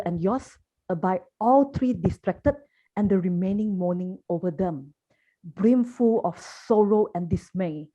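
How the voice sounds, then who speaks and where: A woman's voice: medium pitch, mellow. A woman reading the part of an obedient, compassionate airy spirit, reciting verse deferentially over a dull online call.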